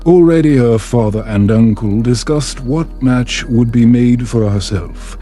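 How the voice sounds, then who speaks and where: A man giving a calm, soothing, measured narration of a bedtime tale. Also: mid-range voice. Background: background music.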